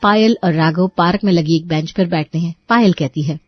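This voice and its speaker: A woman's voice: on the low side, brisk, dry recording, dull audio. A woman narrating a scripted story as its storyteller.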